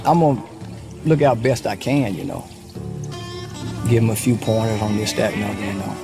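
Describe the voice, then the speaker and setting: A man's voice: lowish voice, fairly even intonation, moderate pace, over music. A man with a Southern American accent, a veteran rodeo rider, talking casually. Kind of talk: casual chat.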